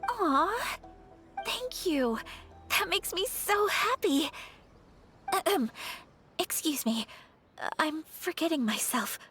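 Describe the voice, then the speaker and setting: An actress playing a gentle, earnest young noblewoman in a video game, speaking politely and formally with soft hesitations. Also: extremely high pitch, lively intonation, slow pace, long pauses, exaggerated voice. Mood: touched, happy. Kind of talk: video game character dialogue. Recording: music behind the voice.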